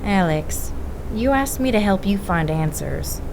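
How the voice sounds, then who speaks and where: A woman acting in an audio drama as a determined paranormal investigator, measured, direct and dryly teasing. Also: medium pitch, animated, gravelly, a little mellow, a little hiss or hum, poor audio. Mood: insistent. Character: steady, determined woman investigator of the supernatural, forgiving but blunt.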